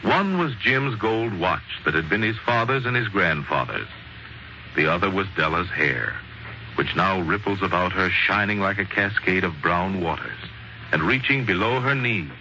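A man narrating a story in dramatic 1940s radio style, with a theatrical, wry, measured delivery. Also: low voice, lively intonation, bright, forceful tone. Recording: muffled, noisy 1940s radio recording.

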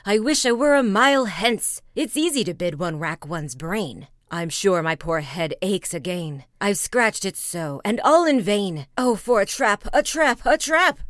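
Brief: woman reads as a fat old storybook mayor, loud and whiny, dry close sound. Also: medium pitch, expressive, measured pace, few pauses, dry recording. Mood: panicky. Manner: loud, whining, panicky.

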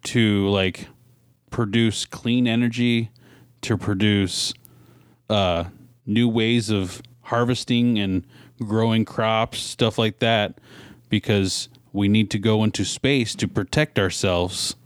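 An American man co-hosting a podcast in casual chat, laconic and deadpan. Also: on the low side, slow, clear, resonant tone, no room sound, clean studio sound. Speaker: podcast co-host.

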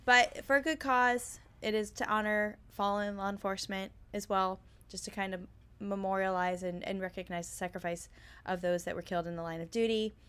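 Hoarse, raspy-voiced woman podcast co-host. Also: medium pitch, fairly quick. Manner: chatty, animated storytelling. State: hoarse, recovering voice. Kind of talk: casual chat.

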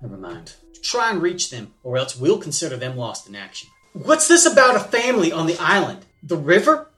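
Man as a curt, animated commanding officer. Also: a little high, brisk, faint music behind. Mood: irate, impatient. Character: demanding, short-tempered commanding officer coordinating a rescue. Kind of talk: audio drama acting.

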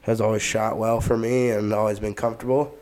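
A man with a stuffed-up nose, co-hosting a podcast and talking through his gear in a relaxed, rambling way. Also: lowish voice, a little fast, low-quality recording. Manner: relaxed, rambling, many fillers. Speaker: podcast co-host. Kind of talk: casual gear talk.

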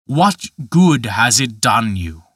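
A man's voice: medium pitch, big pitch swings, fairly bright tone, dry recording, clean studio sound, heavily acted. Dutch Michael, a man voicing a scornful giant tempter. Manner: slow, mocking, emphatic. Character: huge menacing forest giant spirit, scornful tempter.

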